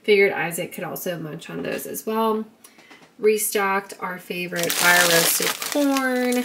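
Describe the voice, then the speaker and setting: A woman's voice: mid-range voice, noisy background. A young woman casually chatting through a grocery haul vlog in her home kitchen, upbeat and talkative, recorded on a camera mic. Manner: chatty, upbeat, talks in a sing-song baby voice to her son. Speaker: vlogger.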